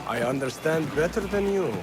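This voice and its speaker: A man's voice: a little high, lively intonation, normal speed, over loud music, natural voice. A man acting as a proud, defiant rebel leader in a video game, with a challenging way of speaking.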